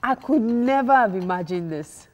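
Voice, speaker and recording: A woman hosting a breakfast TV show, chatty and quick to be impressed. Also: fairly high pitch, lively intonation, darkish tone, highs cut off. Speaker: morning TV show host. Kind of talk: breakfast TV studio chat.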